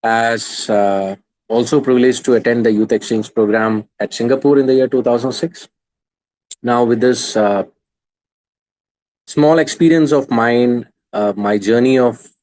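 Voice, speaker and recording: A man's voice: medium pitch, fairly even intonation, moderate pace, darkish tone, rough recording. A man in his thirties with an Indian English accent, coaching students in an online class, earnest and hesitant with many restarts. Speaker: exam coach.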